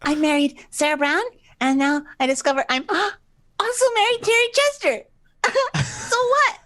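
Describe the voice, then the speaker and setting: Woman playing an excitable high-pitched romantic male adventurer. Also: a little slow. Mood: giddy, amorous. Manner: exuberant, theatrical, bickering. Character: excitable, smug, romantic male writer-explorer in screwball comedy. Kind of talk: stagey comedic radio play acting.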